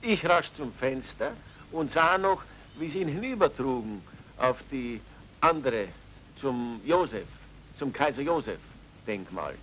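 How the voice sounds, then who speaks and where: An actor playing an excitable porter in an old German radio drama, halting and emphatic, repeating himself. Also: male voice, mid-range voice, a little hiss or hum, poor audio. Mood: agitated, emphatic. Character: excitable Viennese porter, eyewitness to an accident. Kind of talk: 1950s-60s German radio drama acting. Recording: old radio play with music.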